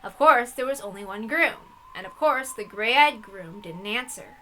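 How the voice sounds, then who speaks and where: A woman with a high, bright voice reads a visual novel aloud as host. She tells the story expressively and speaks casually when commenting on it. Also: lively intonation, measured pace, a little hiss or hum.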